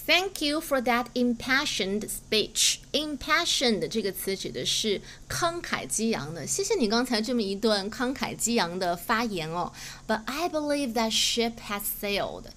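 Mandarin native lady hosting a language lesson, highish voice, slight background noise. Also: very animated, measured pace, hardly any pauses, a bit bright. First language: Mandarin Chinese. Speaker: English teacher, host.

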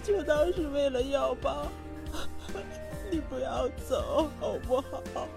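A woman plays a submissive wife and mother in an audio melodrama. Her voice is high and her words come slowly and meekly, with prominent music behind her. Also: creaky, full character voice. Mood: desperate pleading. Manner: slow, imploring, meek. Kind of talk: melodramatic Chinese audio drama acting.

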